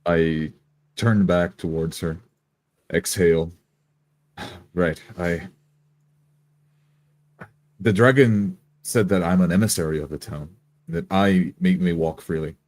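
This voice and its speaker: Very deep-voiced, halting male roleplayer. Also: darkish tone, dry recording. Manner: halting, deliberate. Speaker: tabletop game player. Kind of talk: in-character roleplay with first-person narration.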